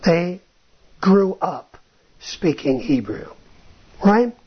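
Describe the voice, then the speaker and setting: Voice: medium pitch, sing-song, extremely slow, husky. A Bible teacher talking informally, often restarting phrases and checking in with a questioning "right?". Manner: ends points with a questioning "right?"; restarts phrases, thinks aloud. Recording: dull lo-fi sound.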